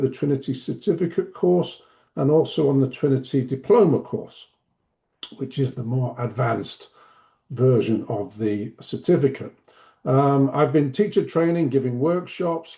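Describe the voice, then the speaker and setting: A man, presenting an online teacher-training webinar in a relaxed, chatty way with a slight cough. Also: mid-range voice. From Manchester, north of England. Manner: relaxed, chatty, self-deprecating asides. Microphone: video call.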